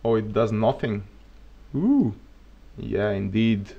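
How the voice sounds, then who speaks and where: A man with a Bulgarian accent, a native Bulgarian speaker, teaching programming on a live coding stream. He thinks aloud as he works and jokes in a relaxed way, speaking into a desk mic at his computer. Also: fairly low pitch, slow pace, vocal fry, husky, muffled recording.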